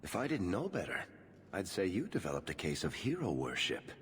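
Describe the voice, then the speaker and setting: An actor playing a sly, wisecracking storyteller in a video game, with a joking manner. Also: male voice, low voice, wildly swooping pitch, a little fast, a bit bright, in a large hall, slight background noise, exaggerated voice. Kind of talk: video game cutscene acting.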